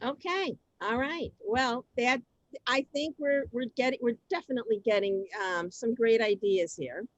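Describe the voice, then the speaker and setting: A woman hosting a community reader's theater on a video call, halting and flustered, often repeating herself. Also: a little high, dry recording, muffled recording. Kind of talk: semi-scripted moderating.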